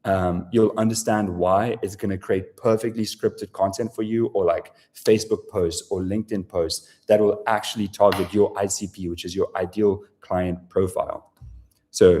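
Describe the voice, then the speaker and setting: Profane South African man pitching live. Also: steady tone, normal speed, mellow. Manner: casual, profane, filler-heavy sales talk. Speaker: webinar presenter. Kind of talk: live sales webinar pitch.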